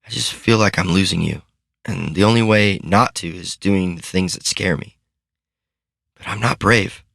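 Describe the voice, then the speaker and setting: A man's voice: deep voice, normal speed, creaky, a bit bright, no room sound, studio quality. A man voicing a timid young boy who talks in a hesitant, self-doubting way. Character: timid, tearful young boy, unsure of himself.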